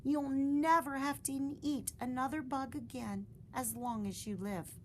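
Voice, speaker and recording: A woman's voice: high voice, steady tone, a little slow, a little mellow, a little hiss or hum, voice pushed up. Woman voicing a tender mother bat.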